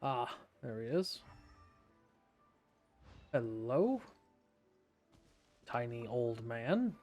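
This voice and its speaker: A man's voice: mid-range voice, very animated, drawn-out words, darkish tone, soft background music. A man streaming a game live, casually joking and laughing about the story. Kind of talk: casual live gaming commentary.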